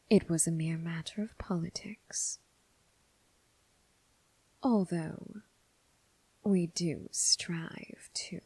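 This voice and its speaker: A woman acting as a seductive, coolly amused and menacing crime boss in an ASMR roleplay, speaking in a breathy near whisper with deliberate, teasing, formal phrasing. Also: fairly low pitch, mellow, full character voice, rough recording. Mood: cool, probing.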